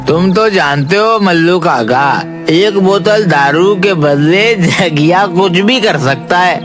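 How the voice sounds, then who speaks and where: A man's voice: sits high, slow pace, over music. A man voicing a sly, wheedling village drunkard in a Hindi cartoon, boastful and eager to scheme. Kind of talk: Hindi moral-story cartoon acting.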